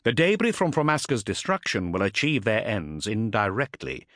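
A man voicing a stern, resolute warrior captain in an audiobook, speaking formally with measured, emphatic oratory. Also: lowish voice, huge pitch swings, fairly bright tone, no room sound, studio quality, voice pushed up. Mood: grave, resolute.

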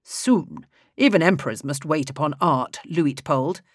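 A woman voicing a middle-aged emperor speaking calmly and with dry amusement to his son in an audiobook. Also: low voice, unhurried, no room sound. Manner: calm, dryly amused.

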